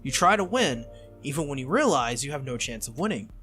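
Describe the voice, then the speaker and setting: A man's voice: mid-range voice, sing-song, fairly bright tone, faint music behind. Man as a bossy, exasperated teenage girl mage. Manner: blunt, scolding, brisk.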